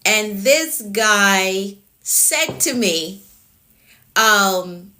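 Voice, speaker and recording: Bright-voiced Jamaican woman coach. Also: medium pitch, lively intonation, drawn-out words. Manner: repeats key points, addresses viewers by name. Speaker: productivity coach. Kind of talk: live-stream coaching talk to viewers.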